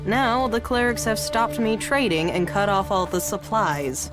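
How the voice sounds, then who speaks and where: A jaded businesswoman of a post-apocalyptic game world, acted by a woman, sizes people up with dry sarcasm. Her voice sits at a middle pitch, with music plainly playing behind it. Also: expressive, unhurried, natural voice. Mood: aggrieved, businesslike. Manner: sardonic, sizing up newcomers. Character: shrewd, jaded woman smuggler trading in wartime. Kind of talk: video game dialogue acting.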